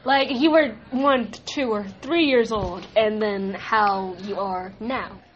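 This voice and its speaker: A woman's voice: medium pitch, takes it slowly, highs cut off, slight background noise. A young woman hosting a casual podcast chat with friends in a library room, laughing often and bossing the others playfully. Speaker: podcast host.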